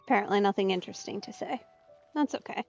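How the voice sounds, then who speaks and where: A woman's voice: mid-range voice, quick pace, vocal fry. A woman giving casual let's play commentary, musing and reacting aloud in short remarks, in slightly muffled stream audio. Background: soft game music.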